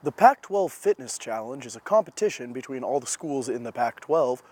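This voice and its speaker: A man's voice: medium pitch, expressive, moderate pace, a little mellow, a little hiss or hum. A young man reporting as a student TV journalist, reading scripted news with an emphatic broadcast delivery. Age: young adult.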